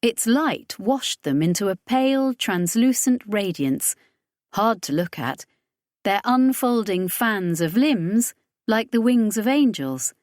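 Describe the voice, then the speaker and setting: A woman's voice: medium pitch, lively intonation, slow, a little mellow, no room sound, studio quality. A woman reading scripted narration from a science fiction audiobook.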